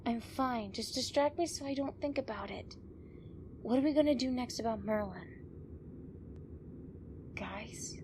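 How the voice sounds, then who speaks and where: A woman playing an injured, stubborn, sardonic tough woman in an audio drama, often hushed and breathy. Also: a little high, unhurried, long pauses, natural voice. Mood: stubborn, wry. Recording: clear background ambience noise.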